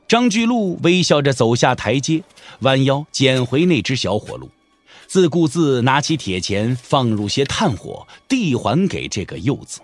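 A man narrates a wuxia audio drama over background music. His voice is husky and swings widely in pitch. The telling is measured and expressive. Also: no echo. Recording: music under the voice.

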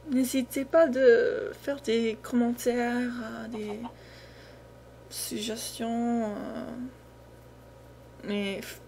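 A woman's voice: a little high, darkish tone. A young American woman, a native English speaker with an American accent, chatting hesitantly and casually over gameplay, her voice often trailing off. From United States. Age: young adult. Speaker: gaming video creator. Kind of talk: casual off-the-cuff gameplay commentary. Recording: noisy home recording, game sound behind. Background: video game sounds.

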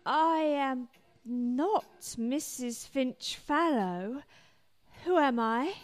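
A woman's voice: high-pitched, expressive, extremely slow, exaggerated voice. A woman playing a dreamy, vain society wife in a radio play. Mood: lost, bewildered.

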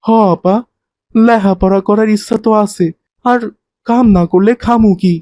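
A poor abandoned street boy played by an actor in a cartoon. He speaks a rustic Bangladeshi dialect in a humble, plain way, with a mellow, dry sound. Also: medium pitch, fairly even intonation, unhurried, no room sound, lightly acted. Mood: earnest. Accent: colloquial rural Bangladeshi dialect. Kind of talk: cartoon voice acting.

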